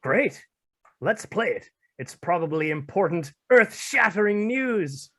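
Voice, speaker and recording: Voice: mid-range voice, animated, a little slow, fairly bright tone. A performer playing a self-important, melodramatic news anchor who babbles and stretches words for emphasis in a comic radio play. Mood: impatient, melodramatic.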